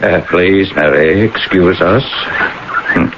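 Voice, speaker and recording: Very deep-voiced, measured small-town mayor. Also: male voice. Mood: polite. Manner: hesitant, polite, measured. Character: calm, skeptical small-town male mayor, widowed. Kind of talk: 1980s radio drama acting. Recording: muffled, hissy old radio broadcast.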